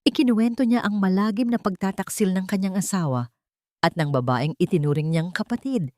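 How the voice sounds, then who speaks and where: A woman's voice: mid-range voice, fairly quick, darkish tone, dry recording, studio quality. A woman storyteller reading a scripted romantic drama in a solemn, sentimental manner. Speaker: storyteller, narrator.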